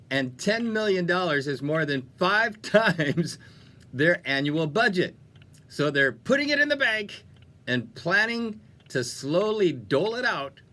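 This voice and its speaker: A man's voice: on the high side, bright, forceful tone. A man presenting a music radio show in an upbeat, chatty and playful style with casual, jokey asides. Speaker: radio DJ and host. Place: radio studio.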